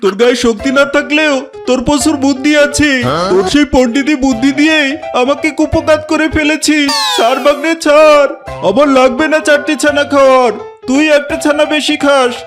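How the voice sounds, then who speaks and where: Casting: grumbling tiger uncle for a kids' cartoon; strikingly high, plaintive voice over music. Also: male voice, fairly even intonation, a little fast. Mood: pleading, pained. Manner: pleading, complaining, exaggerated delivery. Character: gruff tiger uncle, beaten and scolding his fox nephew. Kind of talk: children's cartoon voice acting. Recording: music bed behind the voice.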